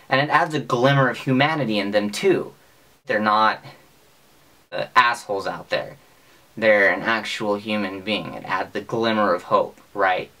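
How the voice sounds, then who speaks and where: A young man with an American accent talking casually to camera in a deadpan, mock-serious, sweary comic monologue, recorded in a small bedroom. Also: lowish voice. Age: young adult. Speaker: vlogger. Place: small bedroom with bunk bed, indoors.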